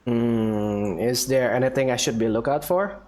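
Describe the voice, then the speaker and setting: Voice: mid-range voice, a little slow, a little mellow. A gaming streamer casually voicing lines and reacting during gameplay. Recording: game music underneath.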